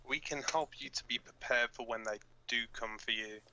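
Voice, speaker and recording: Guy acting blunt cynical resistance fighter dude on pirate radio, really sharp forceful voice, talks informal, audio drama. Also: mid-range voice, normal speed, rough recording. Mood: grim warning. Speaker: radio broadcast co-host.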